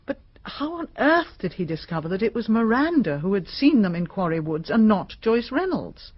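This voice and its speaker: An inquisitive woman in a radio drama. Her voice is husky and swoops expressively as she puzzles things out. The recording is slightly muffled. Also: mid-range voice, very animated, moderate pace. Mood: puzzled curiosity. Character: inquisitive woman listening to a detective's solution.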